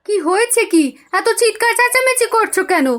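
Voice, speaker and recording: Woman acting a very shrill-pitched nagging village wife. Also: brisk, a bit bright. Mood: irritated. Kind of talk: Bengali cartoon fairy tale dubbing.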